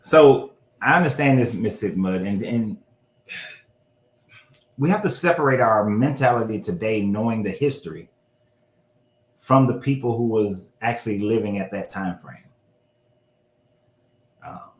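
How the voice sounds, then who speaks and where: A man's voice: lowish voice, expressive, unhurried, pauses often. A man hosting a casual history discussion, talking loosely with some swearing and correcting himself as he goes. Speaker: show host. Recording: roomy echo.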